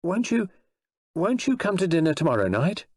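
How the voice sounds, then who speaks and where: A man's voice: sits high, big pitch swings, normal speed, husky, dry recording, studio quality, voice pushed up, full character voice. A man voicing an anxious young married woman who speaks hesitantly and nervously in an audiobook story. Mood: flustered, apologetic.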